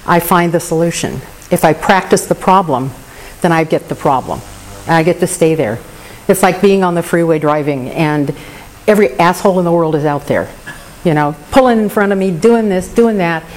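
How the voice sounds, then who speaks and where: A woman's voice: lowish voice, darkish tone, slight background noise, rough recording. Earnest woman sharing in recovery. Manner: candid, earnest, self-deprecating humor. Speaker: recovery meeting member. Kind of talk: personal recovery share.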